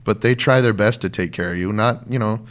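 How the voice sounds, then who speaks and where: A man, a professional wrestler, talking as an interview guest in a rambling, halting way with frequent laughs. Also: fairly low pitch, a bit bright. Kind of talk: casual interview conversation.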